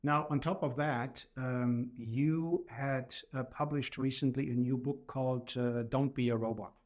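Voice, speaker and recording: A middle-aged or older man from Germany with a German accent, speaking in a formal, measured way as a podcast host. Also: mid-range voice, steady tone, darkish tone, muffled recording. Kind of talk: podcast introduction and interview questions.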